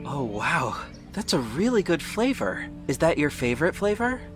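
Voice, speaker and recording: A man playing a reflective, earnest city hero who narrates an audio drama in the first person, in a measured storytelling way. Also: fairly high pitch, sing-song, over music. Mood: gentle, soothing.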